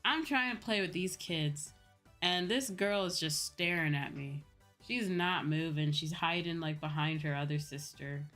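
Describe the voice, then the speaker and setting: A young adult woman streamer telling personal stories in a casual, animated, giggly chat style. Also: deep voice, measured pace. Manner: animated retelling with acted-out quotes and frequent giggles. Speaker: streamer, VTuber host. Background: background music.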